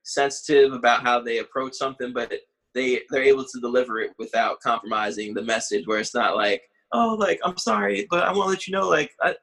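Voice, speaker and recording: A man's voice: mid-range voice, fairly bright tone, low-quality recording. A man in his late twenties chatting casually as a podcast co-host, talking things through in a reflective way and searching for his words. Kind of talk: casual conversation.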